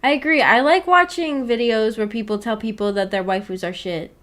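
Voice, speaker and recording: A woman with a fairly high voice chats casually on a livestream, answering her viewers as she goes. Her manner is playful and giggly, with teasing and informal slang. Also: brisk, a bit bright. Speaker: streamer reading and answering viewer chat.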